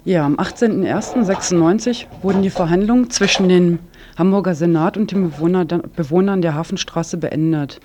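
A man's voice: high voice, brisk, dark tone, slight background noise. A man presenting a scripted radio news report, now and then stumbling over words as he reads.

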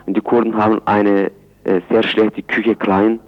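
A man answering in a radio interview, speaking haltingly in phrasing. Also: lowish voice, flat delivery. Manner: halting, non-native phrasing. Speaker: interviewee, chairman of a Kurdish community centre. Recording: dull, lo-fi radio recording.

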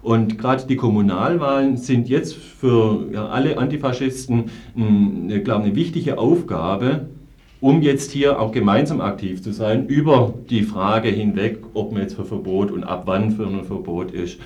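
A man's voice: lowish voice, unhurried, darkish tone. A man speaking spontaneously as an interview guest on politics, earnest and often searching for his words.

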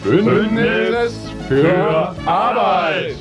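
A man's voice: sits high, animated, drawn-out words. A man presenting a scripted satirical piece on radio. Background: loud music.

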